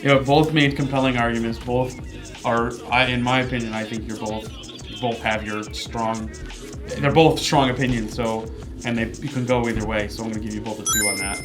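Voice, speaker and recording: A man's voice: mid-range voice, steady tone, brisk, music in the background. A man speaking as host and judge of a casual debate show, moderating in a chatty style.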